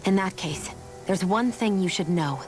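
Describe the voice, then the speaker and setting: A woman's voice: mid-range voice, a little slow, a little mellow, natural voice. An actress playing a cool, guarded young woman who is a captive in a video game. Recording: game audio with faint music.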